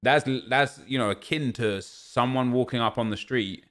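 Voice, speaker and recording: A man's voice: mid-range voice, moderate pace, a bit bright. A man in his thirties, a gaming content creator, talking earnestly over gameplay at home and often stumbling over repeated words. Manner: earnest, ranting, repeats words when restarting. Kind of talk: casual commentary over gameplay. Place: home streaming room.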